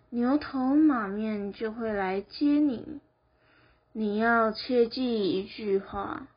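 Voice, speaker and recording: A woman's voice: fairly high pitch, slightly rough, heavily acted. A teenage girl playing a solemn, merciful goddess who counsels the dead in an amateur radio drama. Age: teens. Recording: dull lo-fi student recording.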